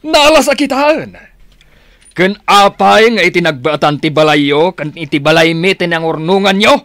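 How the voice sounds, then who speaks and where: Bullying old retired cop, raised pitch. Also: male voice, animated, moderate pace, fairly bright tone, no echo, studio quality. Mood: menacing. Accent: Ilocano Filipino. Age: older. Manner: gruff, bullying. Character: hot-tempered, threatening retired policeman, old neighbour uncle. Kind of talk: Filipino radio drama acting.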